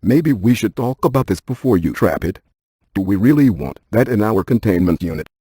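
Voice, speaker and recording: An actor playing a brainy, dryly cautious ghost hunter scientist in a video game, speaking in a deadpan, precise, technical way. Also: male voice, lowish voice, normal speed, husky, dry recording, clean studio sound. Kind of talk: scripted video game character dialogue.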